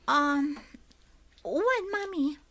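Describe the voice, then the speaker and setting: A woman's voice: high-pitched, expressive, drawn-out words, crowd noise, heavily acted. A woman playing a sweet young daughter in love in an Ilocano audio drama, with an earnest, youthful delivery. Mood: apologetic, pleading. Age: young adult.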